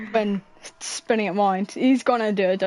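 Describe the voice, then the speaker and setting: A woman giving excited sim racing commentary while losing her voice. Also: mid-range voice, slow pace, rough recording. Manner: excitable, laughs at herself. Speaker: race commentator.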